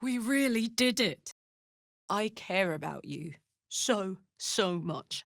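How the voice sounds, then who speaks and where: Hot-blooded warrior woman, video game character, swooping animated pitch, unhurried pace, blunt, earthy, big-hearted. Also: gravelly, no echo, clean studio sound. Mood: tender, earnest. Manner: earthy, blunt, sweary, emotionally open.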